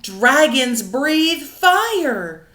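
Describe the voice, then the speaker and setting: A proud storybook dragon father, played by a woman reader, stern and emphatic. The words come very slowly in a high voice, with a roomy echo around them. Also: very animated, bright, forceful tone, heavily acted. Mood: stern, demanding. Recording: roomy, echoing indoor sound.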